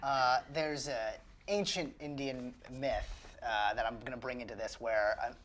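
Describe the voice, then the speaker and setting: A man playing a tabletop roleplaying game, chatting casually and hesitantly thinking his moves out loud. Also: medium pitch, a little slow, slight background noise. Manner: animated, hesitant while working things out.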